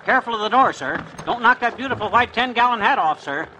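An actor playing an eager, bumbling small-town cab driver in a 1940s radio comedy. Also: male voice, animated, quick pace, pushed and bright, a little hiss or hum, heavily acted. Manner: eager, bumbling, wide pitch swings.

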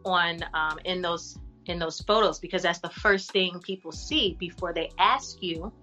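Bright-voiced actress giving frank advice. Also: female voice, measured pace, faint music behind. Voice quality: deep voice for a woman. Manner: frank, animated advice-giving with casual slang. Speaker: actress and artist guest. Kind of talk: casual podcast interview.

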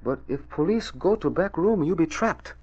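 A man's voice: fairly high pitch, expressive, normal speed, a little mellow, breathy edge, dull audio. An actor playing a loyal male valet and driver who speaks in clipped, broken English. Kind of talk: 1940s radio acting.

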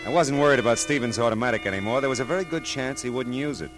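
An American actor playing a dry, persistent private detective in an old radio drama, with a wry, clipped, hard-boiled delivery. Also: male voice, medium pitch, racing, close to an everyday voice. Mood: dry narration. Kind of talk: 1940s-50s radio detective acting with first-person narration. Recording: old radio show, faint music.

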